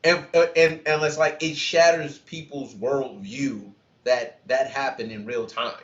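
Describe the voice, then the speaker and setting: A man's voice: medium pitch, fairly even intonation, a little slow. A man co-hosting a casual podcast, talking through his ideas with many restarts and "you know"s. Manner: thoughtful, restarts often, leans on "you know". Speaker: podcast co-host. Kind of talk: casual reaction podcast chat. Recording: roomy, dull lo-fi sound.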